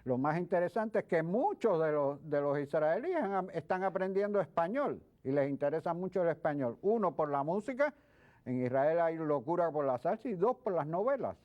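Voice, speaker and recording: A man speaking as a guest expert in an interview, explaining things in a halting way with repeated words. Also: on the high side, big pitch swings. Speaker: guest expert, history professor. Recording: dull lo-fi sound.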